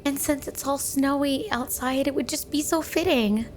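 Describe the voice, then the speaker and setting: Woman acting a high-voiced flirty snow spirit wife. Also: normal speed, faint music behind, natural voice. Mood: sweet, coaxing. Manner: playful, coy, doting, teasing. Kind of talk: ASMR roleplay spoken to the listener.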